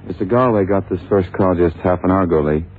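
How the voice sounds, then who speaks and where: An actor in a vintage radio drama playing an eager, easygoing detective's sidekick with a colloquial, folksy manner. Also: male voice, low voice, fast talker, over music, natural voice. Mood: curious. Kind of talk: 1960s-70s radio drama acting. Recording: tinny, noisy old radio broadcast.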